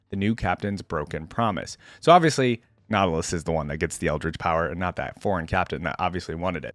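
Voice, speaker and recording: A man's voice: fairly low pitch, dry recording. A man hosting a reaction video, mixing casual, informal commentary with lore read aloud. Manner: informal, conversational asides. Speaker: YouTube reaction host.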